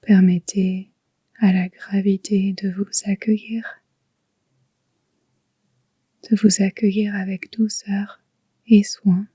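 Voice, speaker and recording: Hushed, breathy woman guiding slow relaxation. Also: mid-range voice, flat delivery, no room sound. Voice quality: soft, breathy, whispery. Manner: soothing, hushed, unhurried. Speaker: yoga nidra guide. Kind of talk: slow guided relaxation meditation.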